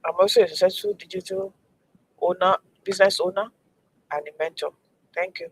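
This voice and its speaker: A woman's voice: fairly low pitch, expressive, a little fast, vocal fry, slightly rough, rough recording. A woman with a Nigerian accent greeting viewers and introducing herself as a co-host and mentor on a livestream.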